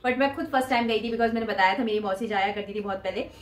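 A woman's voice: mid-range voice, quick pace, roomy sound. A woman in her twenties talking casually to camera in a shopping haul vlog, holding up items as she speaks. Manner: chatty, showing items to camera with her hands. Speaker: vlogger. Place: small room indoors.

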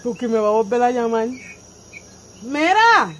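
A woman's voice: on the high side, very animated, a little slow, fairly bright tone. A woman in her thirties with a Puerto Rican accent chats casually and playfully in slang, outdoors into a phone. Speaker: vlogger making prank calls. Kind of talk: casual chat to camera and on video calls. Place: outdoor porch at night. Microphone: phone at close range. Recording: noisy outdoor night recording with insects.

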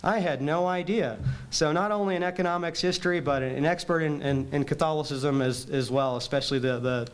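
Hesitant young man with a smooth voice. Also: a little high. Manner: halting, many fillers and restarts. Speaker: student organizer introducing speaker. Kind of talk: introduction speech. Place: chapel hall, indoors. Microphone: podium mic at a distance.

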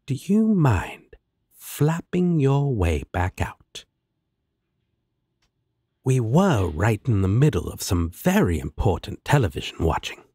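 A man's voice: on the low side, dark tone, slightly rough, no echo, studio quality, heavily acted. A man playing a laid-back, sarcastic, protective demon roommate in an audio roleplay, with a drawling manner. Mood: sarcastic, annoyed. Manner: drawling, sardonic.

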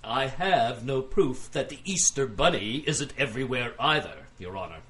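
A man playing a self-assured, pompous plaintiff in an audio drama, addressing a court with deliberate, oratorical delivery. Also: mid-range voice, expressive, fairly bright tone, lightly acted. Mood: pompous, assertive. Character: self-assured male plaintiff arguing pompously against God.

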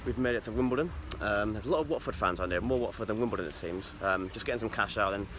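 A man's voice: lowish voice, racing, noisy background. A young man talking casually to his phone held at arm's length, with chatty, rambling asides. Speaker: vlogger. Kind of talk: casual vlog to camera. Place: outdoors at a football ground and at home.

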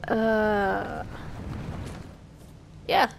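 A young woman who is sick, coughing and sniffling, giving casual gameplay commentary with hesitant pauses and many fillers. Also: mid-range voice, animated, gravelly, a little hiss or hum. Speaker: gaming YouTuber.

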